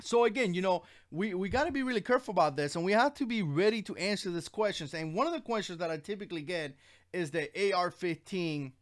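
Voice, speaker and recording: A man's voice: high voice, lively intonation, quick pace. A man born in Cuba, hosting his own livestream and giving his opinions in an earnest, rambling way, with many fillers and restarts. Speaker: channel host. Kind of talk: casual livestream commentary.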